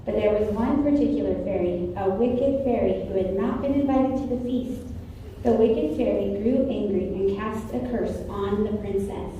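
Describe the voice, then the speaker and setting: A woman's voice: mid-range voice, normal speed, mellow, muffled recording. A female narrator reading a scripted storybook tale for reader's theater. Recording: very echoey distant room, faint noise.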